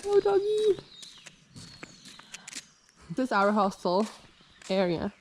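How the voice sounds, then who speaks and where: Slow, giggly woman from the Czech Republic. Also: mid-range voice, big pitch swings. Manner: giggly, laughs between phrases. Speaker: fellow traveler. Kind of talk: casual chat.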